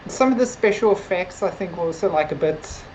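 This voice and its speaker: A woman's voice: fairly low pitch, lively intonation, fairly quick, darkish tone, a little hiss or hum, low-quality recording. A woman co-hosting a casual TV review discussion, speaking in a hedging, conversational way with many filler words. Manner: hedging, conversational, frequent "like" and "sort of". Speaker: podcast co-host discussing a TV series.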